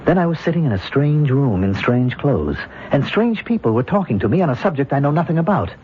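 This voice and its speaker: Halting, bewildered scout, acted by a man, muffled radio. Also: medium pitch, big pitch swings, lightly acted. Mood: solemn, halting. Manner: halting, solemn, searching for words. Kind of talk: old-time radio drama acting. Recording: muffled, hissy old radio recording.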